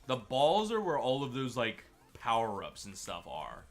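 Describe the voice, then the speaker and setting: A man streaming a game, chatty and excitable, sounding a bit stuffy from a cold. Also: mid-range voice, unhurried, soft background music. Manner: chatty, excitable over wins. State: stuffy, slightly sick. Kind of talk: gameplay commentary.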